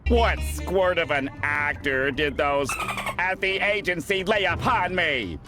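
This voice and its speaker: A man's voice: a little high, takes it slowly, music in the background. A man playing a haughty, insulting film director in a cartoon game, theatrical and full of disdain. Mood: disdainful, exasperated.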